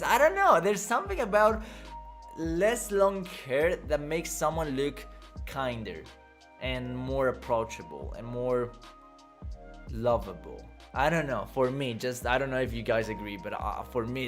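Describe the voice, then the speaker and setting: A man's voice: mid-range voice, a bit bright. A young man hosting a casual livestream, rambling loosely, laughing often and swearing as he answers chat. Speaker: livestream host reading chat.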